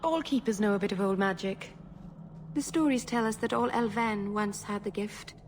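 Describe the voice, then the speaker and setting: A woman's voice: medium pitch, moderate pace, a little hiss or hum, natural voice. A woman voicing an earnest young female elf mage in a video game, hesitant and apologetic, tending to babble and interrupt herself. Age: young adult.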